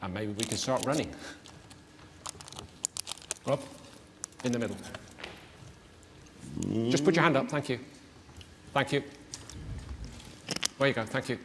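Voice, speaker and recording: A man's voice: medium pitch, measured pace, pauses often. A man hosting a live press conference as moderator. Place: large indoor press conference hall. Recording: reverberant hall PA, clear background noise.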